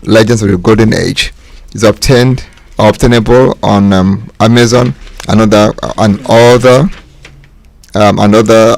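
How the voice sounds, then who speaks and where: Male author as radio guest, lowish voice, deliberate explanatory delivery with pauses. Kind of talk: explanatory talk on history.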